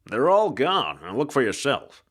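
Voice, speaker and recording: A man's voice: mid-range voice, wildly swooping pitch, a little slow, fairly bright tone, exaggerated voice. A man voicing a sardonic, brash male ghost in an audiobook, talking like an old-time wisecracking tough guy. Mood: cocky, taunting.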